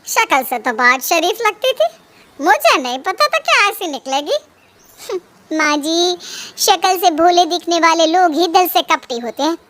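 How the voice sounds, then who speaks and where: A woman voicing a scheming, mocking elder daughter-in-law in an animated family story. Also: extremely high pitch, measured pace, heavily acted. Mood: gossipy. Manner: taunting and sneering.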